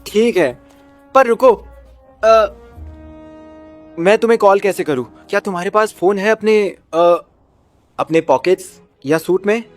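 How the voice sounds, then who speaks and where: A man playing an awkward, starstruck, excitable young man in a dubbed video game cutscene, eager and halting. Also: sits high, faint music behind. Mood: nervous excitement.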